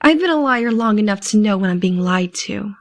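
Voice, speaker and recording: A woman playing a loyal, sharp-witted teenage girl in an audio drama, speaking in an earnest, pressing way. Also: medium pitch, lively intonation, brisk, no echo, clean studio sound, close to an everyday voice. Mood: probing. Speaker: voice actor.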